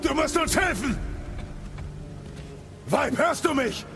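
A man playing a gruff, brooding warrior god and father in a video game audio drama, gravelly and terse. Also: extremely high pitch, expressive, over music, full character voice. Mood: urgent, shouting. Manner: terse, slow, heavy delivery.

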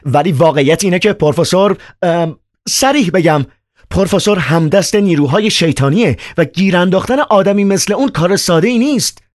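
A man reading aloud and voicing a frantic young male poet held in an asylum, his voice pushed high and shrill. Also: no room sound, clean studio sound, close to an everyday voice.

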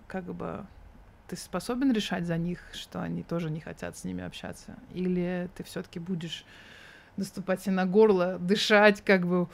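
A woman's voice: lowish voice, fairly even intonation, moderate pace, creaky. A woman in her thirties speaking as an interview guest, candid and thoughtful with an ironic edge.

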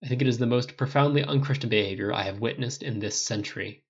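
A man voicing a stern, principled superhero captain who speaks in a tight, firm, deliberate way. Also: mid-range voice, breathy edge, dull audio. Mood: firm, indignant.

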